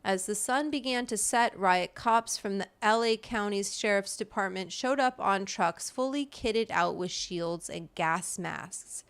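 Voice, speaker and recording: A woman host with a smooth, clean voice in a middle register reads from a written piece. Her delivery is emphatic and impassioned, like someone presenting an argument she means, with brief spoken asides. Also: mid-range voice, a little slow, few pauses, fairly bright tone. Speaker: host reading an article aloud. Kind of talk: reading a written political essay aloud with brief asides.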